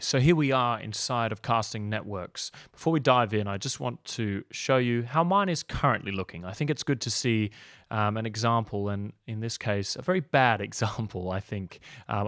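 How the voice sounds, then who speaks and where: Man, 30s, casual coach read, lowish voice, dry close sound, loose with filler words. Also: fairly bright tone, no echo. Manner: casual and upbeat, many ums. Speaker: acting coach. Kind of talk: online tutorial, screen walkthrough.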